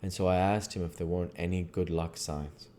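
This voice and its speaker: Man, deep mellow voice, storybook reader, folksy delivery, occasional stumbles, background noise. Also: fairly even intonation, fairly quick. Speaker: reader and channel host. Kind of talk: storybook narration.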